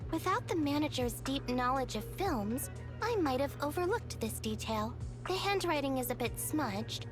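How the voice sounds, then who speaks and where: A woman voicing a wise, detached police investigation android in a video game, speaking in a measured, formal, matter-of-fact way. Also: sits high. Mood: curious, analytical.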